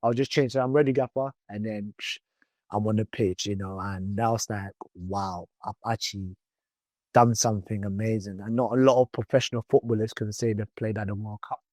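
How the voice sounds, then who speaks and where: Relaxed man with dry close sound. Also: lowish voice, fairly quick, no room sound. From born in England, raised in Ghana. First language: Twi. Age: 30s. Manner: chatty, loose, many "you know" fillers and restarts. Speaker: footballer guest. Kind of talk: casual interview.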